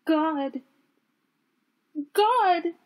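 A horrified woman on the edge of panic, voiced by a female narrator, in a raised, husky voice. She speaks urgently and repeats herself with frightened defiance, as in a horror storybook. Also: fairly high pitch, a little slow, darkish tone, pitched above the performer's own voice. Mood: shrieking horror.

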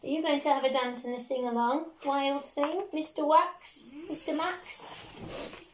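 Slow, high-voiced English woman reading a storybook. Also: a little hiss or hum, rough recording. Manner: expressive, drawn-out storytelling with hushed asides. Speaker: teacher reading a picture book to children. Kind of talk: children's storytime read-aloud. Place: small bedroom at home, indoors.

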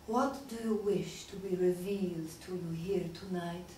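A woman's voice: lowish voice, a little slow, dark tone, close to an everyday voice. A woman playing a pompous fake spirit medium who speaks with portentous drama in a comedy sketch. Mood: solemn, portentous. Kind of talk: stage comedy sketch acting. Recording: echoey room, distant mic, faint noise.

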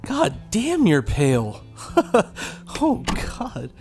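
Casting: male actor as awkward loyal young buddy, hesitant phrasing, expressive pitch, horror audio drama, faint music. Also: extremely slow, heavily acted. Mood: concerned. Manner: hesitant, casual.

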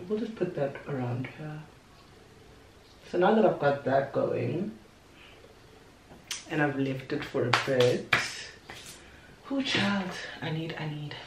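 A woman's voice: low voice, gravelly, far from the mic, noisy background. A young woman chatting casually through a makeup tutorial at her vanity, playful and talkative. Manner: chatty, playful asides, talks while applying makeup. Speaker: beauty vlogger. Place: bedroom vanity table, indoors. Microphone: camera on the desk, a little away. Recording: roomy echo, voice a bit off-mic.

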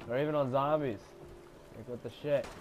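A young man with a congested voice giving casual, swearing commentary while playing a game, mostly low and mumbled with sudden loud outbursts. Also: fairly even intonation, brisk, noisy background. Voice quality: congested, stuffy. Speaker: gamer playing a shooter game.

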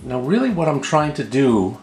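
Male tutorial host, roomy indoor echo, medium pitch, patient, explanatory, unscripted, repeats himself. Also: sing-song, moderate pace, a little mellow, soft background music. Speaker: host of a how-to video. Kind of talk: step-by-step tutorial narration, unscripted. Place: indoor room at a table. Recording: roomy, echoing indoor voice recording.

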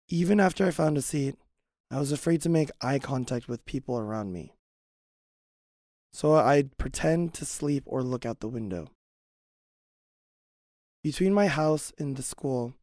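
Mellow, creaky-voiced man giving testimony. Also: medium pitch, no room sound, clean studio sound. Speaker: dub narrator voicing a personal testimony. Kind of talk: scripted first-person testimony narration.

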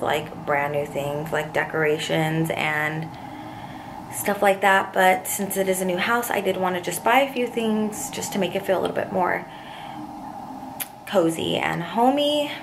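A young woman vlogging from her kitchen, slightly raspy from a cold, chatting in a rambling way with many asides, filmed on a handheld phone. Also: on the low side, a little hiss or hum. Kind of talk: casual chat. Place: home kitchen, indoors. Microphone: handheld phone camera at arm's length.

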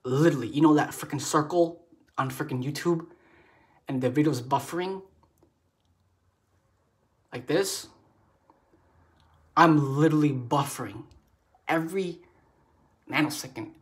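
A man in his thirties whose first language is Khmer, talking to a webcam in a casual, blunt monologue, forcing out each word deliberately around a slight speech impediment. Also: fairly high pitch. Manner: deliberate, forced articulation with pauses; emphatic, blunt, gestures a lot. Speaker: vlogger. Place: dim room indoors.

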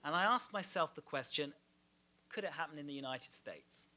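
Brief: Israeli-accented male lecturer, forties, emphatic, bright and a bit high, podium mic in a small room. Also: lively intonation, normal speed. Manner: emphatic, gestures a lot, rhetorical questions. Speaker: guest lecturer. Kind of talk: academic lecture with slides. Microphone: podium microphone.

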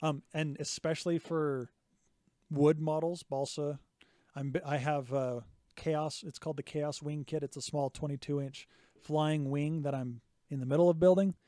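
Hoarse thirtysomething male co-host, higher and mellow, chatty and explanatory, dry studio mic. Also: steady tone, moderate pace, no echo. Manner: chatty, explains step by step with restarts. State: slightly hoarse. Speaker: podcast co-host. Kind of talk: casual hobby podcast chat. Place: small home workshop indoors. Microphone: studio mic on boom arm, close. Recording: dry close podcast mic.

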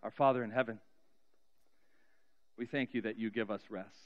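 A middle-aged man preaching as a pastor in a church sanctuary, telling a story earnestly and slowing down with soft, trailing endings. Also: lowish voice, steady tone, lo-fi sound. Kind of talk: sermon storytelling and spoken prayer. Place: large church sanctuary, indoors. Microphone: cheek headset mic.

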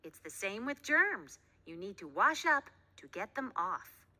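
A woman voicing a patient female schoolteacher in a storybook, instructing clearly and emphatically. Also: medium pitch, sing-song, unhurried, bright, forceful tone, exaggerated voice. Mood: encouraging.

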